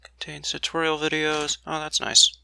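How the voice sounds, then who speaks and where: A man's voice: a little high, completely flat delivery, moderate pace, creaky, bright, forceful tone, smooth. A man giving casual, unscripted commentary as he plays a video game, with a skeptical, dry way of talking. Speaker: let's play commentator.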